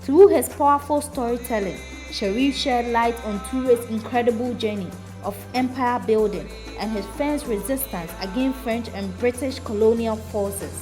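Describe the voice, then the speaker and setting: A woman's voice: on the high side, moderate pace, hardly any pauses, rough recording. Upbeat woman narrating a news recap. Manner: polished, upbeat delivery. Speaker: reporter narrator. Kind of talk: scripted narration. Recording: music under voice-over. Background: music bed.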